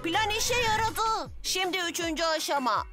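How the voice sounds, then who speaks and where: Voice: extremely high pitch, expressive, normal speed. An actor voicing a brave, eager young rescue motorbike in a children's cartoon, with music behind. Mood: eager, commanding. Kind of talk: dubbed children's cartoon acting.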